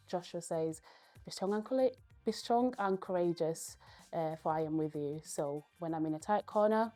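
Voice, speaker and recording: A young woman, a Spanish speaker from Spain, giving earnest, thoughtful interview answers and pausing often to gather her words. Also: fairly low pitch, mellow. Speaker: guest, powerlifter.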